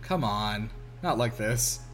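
A man's voice: lowish voice, expressive, slow pace, fairly bright tone, faint music behind. A man giving casual, wry film commentary as a podcast co-host, exclaiming and swearing freely.

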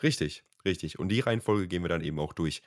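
Young male co-host, low-ish and a bit nasal from a cold, relaxed, dry close sound. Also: fast, dry recording. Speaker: podcast co-host. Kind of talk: casual podcast chat.

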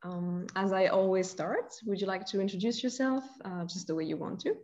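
A woman hosting a podcast, interviewing a guest over an online call in a warm, encouraging and lightly hesitant way. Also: medium pitch, fairly quick. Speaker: podcast host. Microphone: remote online call. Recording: dull lo-fi online call audio.

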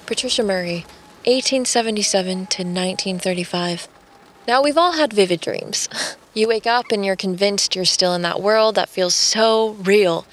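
A woman's voice: medium pitch, close to an everyday voice. An actress playing a determined, haunted woman artist in an audio drama, speaking earnestly and confidingly. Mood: resolute. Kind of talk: audio drama acting with first-person narration.

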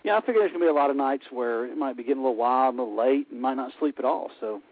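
Fast-talking Alabama man on a phone line. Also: medium pitch, breathy edge, no echo. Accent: Alabama Southern. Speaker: caller co-hosting the interview. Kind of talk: casual radio phone chat. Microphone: telephone. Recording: dry, tinny phone line.

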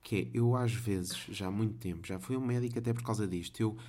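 A man's voice: lowish voice, fast, dark tone. Laid-back Portuguese man rambling. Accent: European Portuguese. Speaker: podcast guest. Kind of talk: casual chat.

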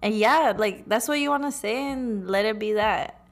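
A woman co-hosting a podcast in casual chat, chatty and teasing, handing out relaxed advice. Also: a little high, moderate pace. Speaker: podcast co-host.

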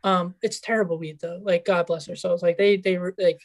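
Soft-spoken raspy young man. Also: sits high, fairly even intonation, fairly quick. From Mexico. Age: 20s. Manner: easygoing, agreeable, filler-heavy. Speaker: guest author. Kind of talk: casual chat.

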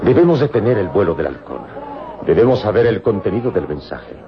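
An actor playing a calm, commanding adventure hero and mentor in a radio serial, solemn and dramatic in delivery. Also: male voice, lowish voice, a little fast. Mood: urgent. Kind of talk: old radio serial acting. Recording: dull old radio recording with music.

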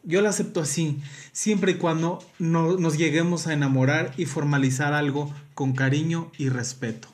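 A man's voice: fairly high pitch, steady tone, moderate pace. A man with a Mexican accent, chatting casually and playfully reading aloud as a podcast co-host.

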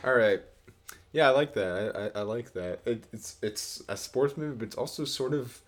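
A young American man from the Midwest co-hosting a podcast, chatting casually and comedically in a rambling, halting way with casual swearing. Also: mid-range voice, expressive. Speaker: podcast co-host.

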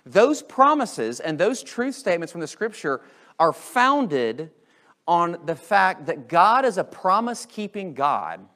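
An American man preaches as a pastor in a fairly high voice with very lively intonation. He is emphatic, leans on rhetorical questions, and moves dramatically between raised and lowered volume as he addresses his congregation. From United States. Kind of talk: Easter sermon to a congregation.

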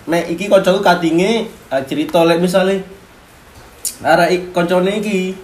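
A man's voice: high-pitched, fairly even intonation, moderate pace. A young man with a Malang Javanese accent, joking in casual slangy chat as a student podcast co-host. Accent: East Javanese, Malang. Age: young adult. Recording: echoey room, faint music.

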